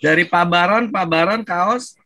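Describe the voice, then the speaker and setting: A man with a slightly raised voice and an Indonesian accent, running a video call. He is brisk and encouraging as he calls on participants, inviting them to turn on their mics. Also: high voice, background noise. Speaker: moderator.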